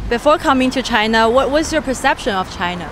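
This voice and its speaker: A woman's voice: on the high side, expressive, a little fast, noisy background. A woman asking short, direct questions as a street interviewer out on a city street.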